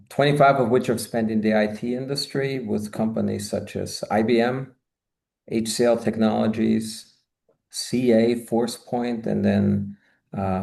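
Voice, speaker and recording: A man's voice: on the low side, creaky, darkish tone. A man in his fifties, born and raised in Austria, speaking as a guest on a video-call interview from a home office, talking expansively with many "you know" asides. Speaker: guest, marketing consultant. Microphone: video call, webcam.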